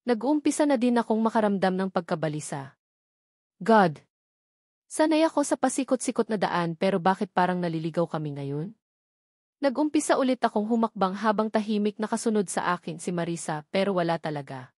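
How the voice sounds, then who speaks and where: A woman's voice: mid-range voice, expressive, fairly quick, darkish tone, no room sound, clean studio sound. A woman with a Filipino accent reading a first-person story as a scripted audiobook narration.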